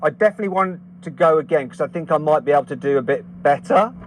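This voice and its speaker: A man with an English Midlands accent hosting a car vlog outdoors on a runway, excitable and laughing often. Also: fairly high pitch, fast, slight background noise. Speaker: car reviewer and host.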